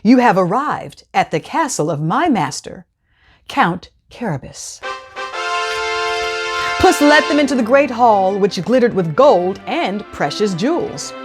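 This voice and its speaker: Animated, high-voiced woman reading a storybook. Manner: dramatic, expressive. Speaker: children's storytime reader. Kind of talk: expressive scripted storybook narration for children.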